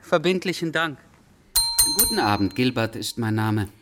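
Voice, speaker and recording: An actor playing a pedantic, easily exasperated traveller in a German radio drama, wordy and theatrically bewildered. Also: male voice, medium pitch, sing-song, normal speed, very noisy, close to an everyday voice. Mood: courteous.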